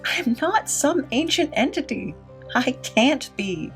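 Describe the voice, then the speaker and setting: A woman playing a wary, wounded captive in an audio drama, halting, guarded and weary. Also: sits high, big pitch swings, a bit bright, exaggerated voice. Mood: distrustful, protesting. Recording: muffled audio, faint music behind.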